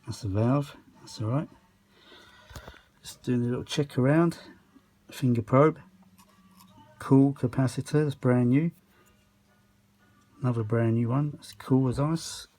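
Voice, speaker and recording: A man's voice: mid-range voice, a little slow, pauses often, warm tone, faint music behind. A British man giving a casual, unscripted running commentary as a hobbyist repairer, often muttering asides to himself while he works. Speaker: hobbyist repairer presenting a video. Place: home kitchen workshop. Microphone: handheld phone.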